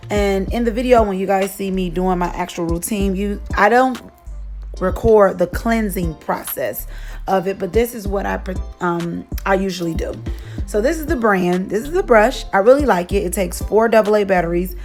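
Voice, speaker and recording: Young woman beauty vlogger, casual rambling chat, a bit hoarse, faint music underneath. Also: medium pitch, normal speed, darkish tone. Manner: chatty, rambling, many fillers. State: slightly hoarse, losing her voice. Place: vacation lodging, indoors.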